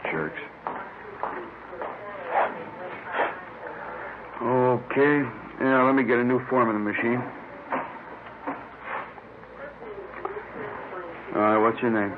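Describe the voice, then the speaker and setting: An actor playing a bored, gruff police booking clerk in a 1950s radio crime drama, plodding through his words as if writing them down. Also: medium pitch, close to an everyday voice. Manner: slow, flat, repeating items as he writes. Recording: noisy, tinny old 1950s radio recording.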